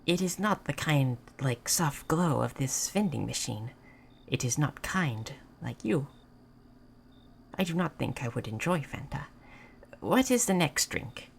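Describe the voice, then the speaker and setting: A woman playing a gentle, naive moth person in a roleplay audio, speaking in halting, simple, formal phrases. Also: low voice, lively intonation, gravelly, slight background noise, full character voice. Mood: curious, hesitant. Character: gentle, naive, curious moth person learning human things. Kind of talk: scripted roleplay audio addressed to the listener.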